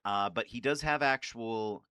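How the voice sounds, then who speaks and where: A man's voice: mid-range voice, unhurried, pushed and bright, clear, resonant tone, no room sound, clean studio sound. A man giving casual, nerdy commentary as a gaming video creator, rambling and swearing with enthusiasm. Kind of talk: casual, nerdy commentary on favorite game lines.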